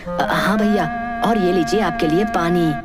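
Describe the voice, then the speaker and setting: A woman voicing a hesitant but insistent young village boy in an animated story. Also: fairly low pitch, normal speed, over loud music, close to an everyday voice. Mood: helpful. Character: scheming young village boy, helpful little brother. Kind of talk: Hindi animated fairy tale acting.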